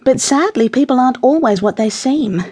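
Storybook busybody, older woman played by a female reader: fussy, insistent, gushing, highly animated intonation. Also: normal speed, husky. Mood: solemn, self-righteous. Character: fussy, gossipy older woman neighbour, sugary and sharp. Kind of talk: children's audiobook character voice.

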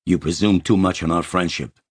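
A man's voice: low voice, fairly quick, husky, no echo. A man voicing an irascible, imperious old male tycoon in an audiobook, blustering with indignation. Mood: thundering. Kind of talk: audiobook character acting.

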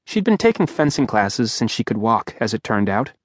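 A man's voice: on the low side, sing-song, normal speed, no room sound. A man reading an audiobook aloud in a dry, wry storytelling manner at a studio microphone. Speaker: audiobook narrator. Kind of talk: scripted narration.

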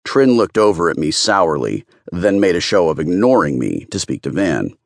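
A man's voice: sits low, very animated, normal speed, creaky, dry recording, studio quality. A man narrating first-person fiction as a scripted audiobook reader.

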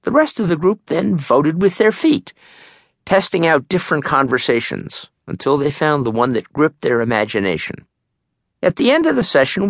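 A man reads a nonfiction memoir as an audiobook narrator, measured and articulate, in a studio. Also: a little high, no echo.

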